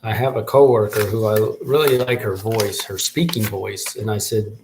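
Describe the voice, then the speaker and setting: A man's voice: on the low side, fairly even intonation, moderate pace, noisy background. A man hosting his own show, giving a casual solo update as he thinks aloud, rambling with restarts. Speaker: podcast host and rap artist.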